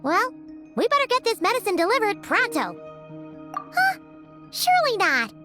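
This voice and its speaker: A woman voicing a small, excitable, childlike fairy companion in a video game, exclamatory and nosy. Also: very high-pitched, moderate pace, full character voice. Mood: curious chatter. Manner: refers to self in third person, exclamatory. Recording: music behind the voice.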